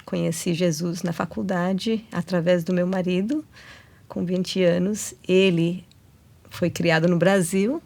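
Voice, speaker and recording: A woman's voice: lowish voice, steady tone, measured pace, gravelly, darkish tone. Woman with an English accent, explaining. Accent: Indian-born, English-raised speaker of Portuguese. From England. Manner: explanatory, with frequent fillers and self-corrections. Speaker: ministry guest. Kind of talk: talk show interview.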